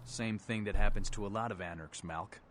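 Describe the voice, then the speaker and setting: An actor playing a world-weary, tough vampire rebel leader in a video game, gruff, terse and streetwise. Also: male voice, on the low side, brisk, a bit bright, close to an everyday voice. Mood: weary, stern.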